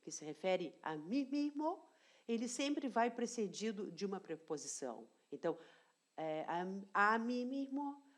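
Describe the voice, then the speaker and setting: A woman teacher explaining grammar in an online lesson, deliberate and repeating key phrases, often checking in with her students. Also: mid-range voice, sing-song. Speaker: language teacher.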